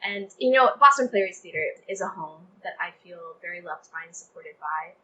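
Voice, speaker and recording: A woman's voice: on the low side, lively intonation, a little fast, pushed and bright, lo-fi sound. A young woman chatting casually as an interview guest, speaking in an effusive, emphatic way peppered with "like". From Texas, United States. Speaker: playwright guest.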